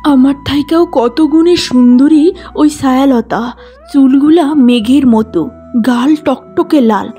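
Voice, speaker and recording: Jealous cartoon elder sister, bossy, spiteful, scheming, high-pitched, music behind. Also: female voice, slow, dark tone. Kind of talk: cartoon fairy-tale acting.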